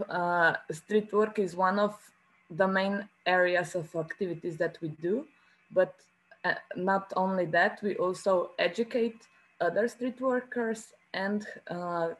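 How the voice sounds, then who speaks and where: A woman from Slovenia introducing her street work organization, unhurried and lightly joking. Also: fairly low pitch, steady tone, rough recording. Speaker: street worker.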